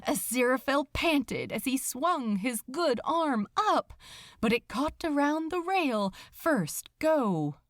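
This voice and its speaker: Female fiction narrator, suspenseful and emphatic, very animated melody, dry close sound. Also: sits high, no room sound. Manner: theatrical, suspenseful, deliberate emphasis. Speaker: podfic narrator. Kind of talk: dramatic scripted story narration.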